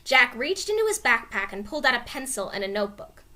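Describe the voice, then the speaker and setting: Bright, high-pitched woman reading a storybook. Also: quick pace. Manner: expressive, clearly dramatized reading. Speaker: storybook reader. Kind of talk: animated children's read-aloud narration.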